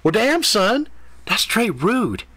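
A man's voice: very animated, takes it slowly, pushed and bright, no echo, full character voice. A man voicing a sassy female fey cat who talks in blunt slang. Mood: offended. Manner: brash, slangy, high-pitched. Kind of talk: audiobook character voice.

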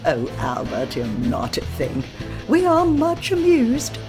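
A woman's voice: fairly high pitch, huge pitch swings, slow pace, heavily acted. A woman playing a stately, imperious queen in a steampunk radio drama, regal and formal. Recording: music behind the voice.

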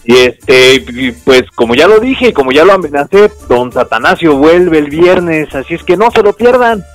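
A Mexican man co-hosting a radio show, talking quickly in a slangy, joking way. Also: on the high side, lively intonation, no echo, faint music behind. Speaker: radio co-host. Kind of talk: casual joking chat.

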